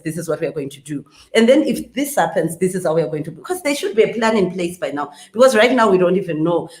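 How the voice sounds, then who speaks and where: A woman's voice: medium pitch, darkish tone. Woman, panel guest, earnest with many restarts; echoey room. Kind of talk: discussion panel.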